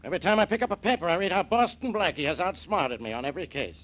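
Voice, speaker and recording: An actor playing a short-tempered, blustering police inspector in a 1940s radio drama, with an emphatic delivery. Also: male voice, high-pitched, very animated, very fast. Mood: blustering, irritated. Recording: dull old 1940s radio recording.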